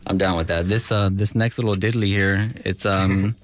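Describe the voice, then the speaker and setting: A young man from Los Angeles, a guest musician talking casually in a radio studio interview, halting and full of fillers, slipping in Spanish words. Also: low voice, steady tone, no room sound. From Los Angeles, USA.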